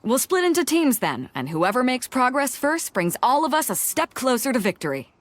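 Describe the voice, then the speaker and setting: An actress voicing a confident, upbeat young woman who runs a ship in a video game, brisk and friendly. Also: sits high, big pitch swings, dry recording.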